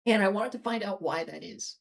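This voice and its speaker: A woman hosting a casual livestream, her voice slightly raspy and strained from long talking, speaking in halting bursts with many fillers and restarts. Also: medium pitch. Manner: halting, many fillers and restarts, talks fast then slows. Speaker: livestream host. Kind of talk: casual livestream chat, reading chat and rambling on.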